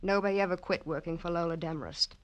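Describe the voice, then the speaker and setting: A woman's voice: fairly low pitch, steady tone, quick pace, natural voice. An actress playing a brash, cynical young woman writer with a snappy, unsentimental delivery in old radio detective style. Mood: cool, sly. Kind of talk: 1940s-50s radio detective acting.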